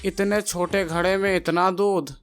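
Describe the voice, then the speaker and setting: A man's voice: sits high, fairly even intonation, measured pace, soft background music. A man voicing a sly, eager village henchman in a moral-story cartoon, servile and conspiratorial toward his boss. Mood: sly, amazed.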